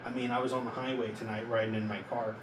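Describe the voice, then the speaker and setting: A young man in his twenties speaks in a medium-pitched voice, caught in an echoey space some way from the microphone with clear background noise. He rambles casually and haltingly, thinking aloud and putting questions to himself as a vlogger would. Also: flat delivery. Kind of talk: casual self-reflective vlog talk. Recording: echoey, noisy, distant from mic.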